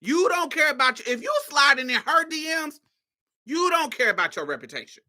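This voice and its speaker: Sassy, bright-voiced woman host. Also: high-pitched, big pitch swings, a little fast. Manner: sassy and blunt, swears freely, laughs often. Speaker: podcast host. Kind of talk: casual commentary monologue.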